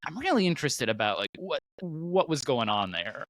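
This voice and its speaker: A man's voice: on the high side, very animated, moderate pace, fairly bright tone, no room sound, clean studio sound. A man co-hosting a casual fan podcast, speaking informally and musing aloud. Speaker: podcast host.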